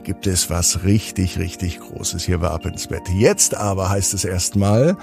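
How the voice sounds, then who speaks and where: Deep, gravelly-voiced male bedtime storyteller. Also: music in the background. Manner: addresses young listeners directly, simple unhurried phrasing. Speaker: podcast host and bedtime storyteller. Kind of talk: children's bedtime story narration.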